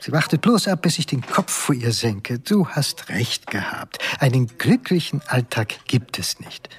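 A man's voice: medium pitch, big pitch swings, a little slow, breathy edge, exaggerated voice. An actor playing an earnest, wistful husband who looks back on his marriage, speaking in a reflective, intimate radio drama monologue. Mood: troubled, brooding. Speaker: actor and narrator. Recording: studio radio drama under music. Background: prominent music.

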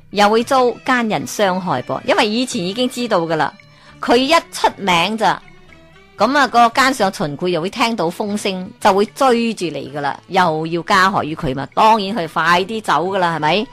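Lively Hong Kong woman telling folk tales. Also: mid-range voice. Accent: Hong Kong Cantonese. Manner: lively, asks listeners rhetorical questions. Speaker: storyteller. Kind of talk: Cantonese folk-tale storytelling.

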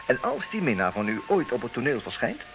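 A man acting in a comedic radio play as a formal, businesslike suitor, stubborn and dryly exasperated, speaking with measured, theatrical emphasis. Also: mid-range voice, wildly swooping pitch, music in the background. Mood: insistent, businesslike. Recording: noisy, hissy old radio recording.

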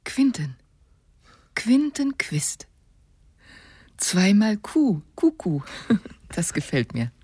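An actress playing an effusive, playful woman in a German radio drama, with a husky, breathy voice. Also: mid-range voice, sing-song, very slow, long pauses, mellow, no room sound, clean studio sound, exaggerated voice. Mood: delighted, playful.

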